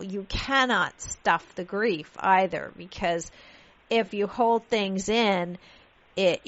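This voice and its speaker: A woman from Pakistan, raised in London, hosts a radio interview in a chatty, expressive way. Her voice sits at a middle pitch. The sound is a little dull and muffled. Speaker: radio show host.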